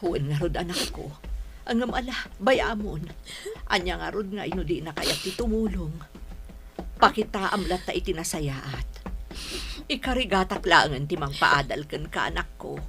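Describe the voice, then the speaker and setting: A woman's voice: lowish voice, lively intonation, moderate pace. An actress playing a caring, hard-up middle-aged mother in a radio drama, tender and pleading, with an Ilocano accent. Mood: consoling.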